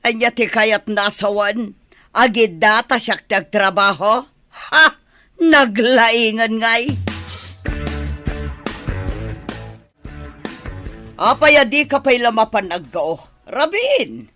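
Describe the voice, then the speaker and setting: Woman playing a sharp, nagging village mother-in-law. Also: fairly high pitch, expressive, slow pace, soft background music, heavily acted. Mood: outraged scolding. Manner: nagging, demanding. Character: bossy, scolding, callous older village mother-in-law. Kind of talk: Ilocano radio drama acting.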